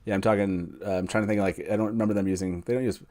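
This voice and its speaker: A man's voice: fairly low pitch, fairly even intonation, racing, warm tone. A man hosting a live online fan chat, speaking casually with hesitant, rambling phrasing. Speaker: host of a fan live stream.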